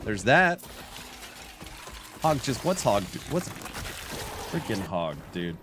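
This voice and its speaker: Late-30s male game streamer, unhurried, jokey chat-reading banter. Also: on the low side, very animated, background noise. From California. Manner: jokey, reads viewer chat aloud and banters. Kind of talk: casual livestream chat while gaming.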